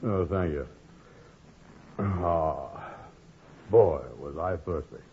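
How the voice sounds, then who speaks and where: An actor playing a slow-witted, nervous witness who stammers hesitantly in dialect, in 1940s radio comedy style. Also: male voice, low-pitched, dark tone, slight background noise, heavily acted. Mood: relieved. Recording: muffled old radio recording.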